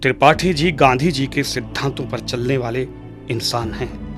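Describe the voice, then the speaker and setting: A man playing a wise, patient father in a radio drama, teaching his son in a measured, gently instructive way. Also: medium pitch, lightly acted. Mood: earnest, instructive. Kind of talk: radio drama acting in Hindi. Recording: music under the voice.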